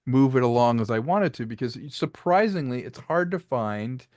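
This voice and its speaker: A man's voice: mid-range voice, expressive, normal speed, darkish tone, no room sound. Man explaining his craft, close dry sound. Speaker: interview guest, filmmaker and audio drama creator. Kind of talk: casual, explanatory interview talk.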